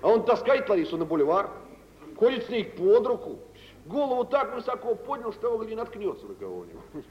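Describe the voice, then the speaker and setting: Звонкого, насмешливого купца, старая радиозапись. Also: male voice, high voice, big pitch swings, fairly quick. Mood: amused gossip. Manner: teasing, mock-humble, chuckling gossip. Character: jovial, sly, mocking wealthy merchant in 19th-century Russia. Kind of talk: 1940s-50s radio theatre acting. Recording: old radio recording, hollow hall, muffled, hissy.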